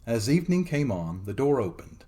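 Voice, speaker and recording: A man narrating a fairy tale as a storytelling host, in a theatrical, expressive dramatic reading. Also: mid-range voice, normal speed.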